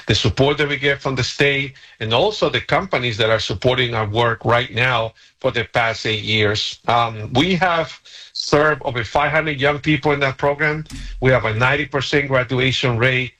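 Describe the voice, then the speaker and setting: A man's voice: medium pitch, normal speed, bright, forceful tone, low-quality recording. A man in his forties from Guatemala, a nonprofit CEO, explaining his work earnestly and steadily as a radio guest. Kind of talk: radio interview.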